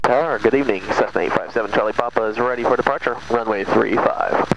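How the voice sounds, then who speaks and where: A man's voice: medium pitch, fast talker, gravelly. A man talking casually to himself and making clipped radio calls as a pilot in a small plane's cockpit, on a headset. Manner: casual, muttering through checklist steps. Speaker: student pilot vlogger. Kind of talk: casual self-talk while flying, clipped radio calls. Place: small aircraft cockpit at night. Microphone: aviation headset. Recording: loud engine noise, cockpit intercom audio.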